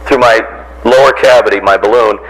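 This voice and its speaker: A man's voice: on the low side, brisk, poor audio. An American man giving an informal voice workshop, teaching in a jocular way and putting questions to his listeners. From Randolph, near Boston, United States. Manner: jocular, animated teacher who acts out examples and puts questions to listeners. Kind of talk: informal instructional talk to a group.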